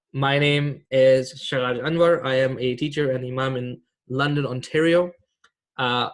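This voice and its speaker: A man from Pakistan in his thirties, a teacher and imam, running a casual online class for teens with a quiz. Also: mid-range voice. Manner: casual and friendly, many fillers. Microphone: video call.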